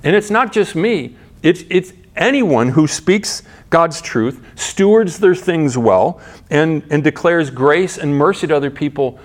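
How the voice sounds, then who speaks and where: A middle-aged man preaching in a relaxed, conversational manner. He poses rhetorical questions to his listeners and often restarts his sentences, moving between soft, low asides and brighter, raised points. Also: a little slow. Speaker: pastor. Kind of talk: sermon.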